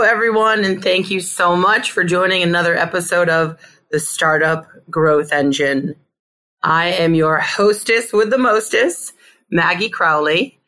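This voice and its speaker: A woman hosting a podcast, with a notably low-pitched voice. She is chatty and playful, keeping the interview light. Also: pushed and bright. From Connecticut. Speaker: podcast host.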